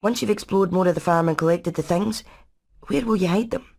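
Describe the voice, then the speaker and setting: A woman's voice: on the low side, brisk, creaky, a little mellow. A woman voicing a brainy, practical hen engineer in a video game, with a Scottish accent and a technical, matter-of-fact way of talking. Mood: thoughtful. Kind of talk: animated video game acting.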